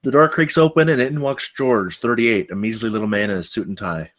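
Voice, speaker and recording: A man's voice: medium pitch, a little fast, fairly bright tone. A man reading stage directions as scripted narration at a film table read.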